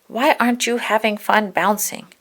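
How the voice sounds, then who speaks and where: A woman's voice: medium pitch, fairly even intonation, normal speed, fairly bright tone, natural voice. A woman voicing a simple, friendly male bear in a children's storybook, with a mild manner. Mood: curious.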